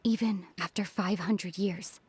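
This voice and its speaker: Composed, lower-pitched solemn wanderer. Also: female voice, fairly even intonation, unhurried, husky. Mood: solemn, reflective. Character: solemn, composed young wanderer, centuries old, quietly resolute. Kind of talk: scripted game voice acting. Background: game music.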